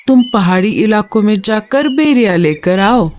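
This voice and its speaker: महिला की आवाज़ में धीमी चाल वाला चालाक बूढ़ा कौवा. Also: female voice, mid-range voice, expressive, a little slow, darkish tone, a little hiss or hum, close to an everyday voice. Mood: sly, friendly. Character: scheming, heartless old male crow. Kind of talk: Hindi animal cartoon story.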